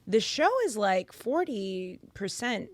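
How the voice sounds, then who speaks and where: A young woman from Massachusetts chats as a podcast guest, animated and swinging louder when she stresses a point. Her voice sits in a middle register and sounds close and dry on a studio boom mic. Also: slow pace, dry recording. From Massachusetts, United States. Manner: animated storyteller, swings loud for emphasis. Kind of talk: casual podcast chat. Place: podcast studio with purple lighting.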